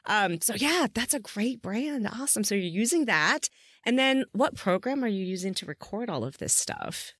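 A woman's voice: mid-range voice, animated, normal speed, a bit bright, slightly rough, no room sound, clean studio sound. A woman hosting a casual interview, effusive and informal in the way she talks. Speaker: podcast interviewer and host.

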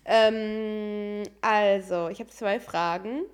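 A woman's voice: medium pitch, steady tone, very slow, smooth. A woman hosting a podcast in casual chat, speaking informally and enthusiastically with English slang mixed in. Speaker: podcast host.

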